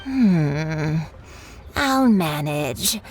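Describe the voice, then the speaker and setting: Slow, kindly old granny. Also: female voice, low-pitched, animated, slight background noise, heavily acted. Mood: hesitant, practical. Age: older. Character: kindly old granny kite seller, patient, shrewd. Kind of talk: video game voice acting. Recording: music behind the voice. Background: game music.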